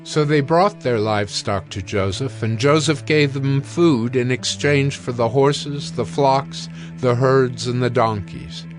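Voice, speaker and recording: A man's voice: medium pitch, a little slow, hardly any pauses, faint music behind. A man reading scripted Bible narration for an audio drama.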